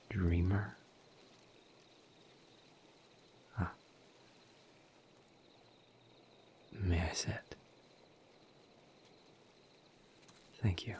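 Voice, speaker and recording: A man voicing a calm, formal misery demon in an audio roleplay, speaking softly in a breathy near-whisper with measured pauses. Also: deep voice, slight background noise. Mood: polite, curious. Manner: measured, halting, formal diction with long pauses. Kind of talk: audio roleplay, intimate scripted monologue.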